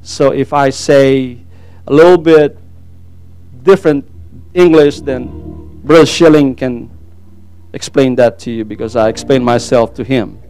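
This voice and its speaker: A man's voice: mid-range voice, a little slow, long pauses, darkish tone, clear, resonant tone, faint music behind. A middle-aged Filipino man whose first language is Tagalog, preaching a sermon and sharing his testimony, with many amens and praise Gods. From Philippines. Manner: frequent amens and praise God, earnest. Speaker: pastor.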